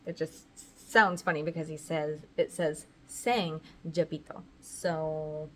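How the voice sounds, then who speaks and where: A woman's voice: low voice, flat delivery, a little slow, fairly bright tone, a little hiss or hum. A woman reading a children's picture book aloud in a casual style, with chatty asides to the viewers. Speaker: read-aloud host and parent.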